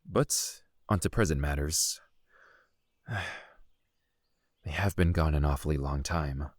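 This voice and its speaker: Male actor as cautious fantasy knight: low, formal, composed, dry recording. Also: slow, no echo, heavily acted. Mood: composed, concerned. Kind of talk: audio drama acting.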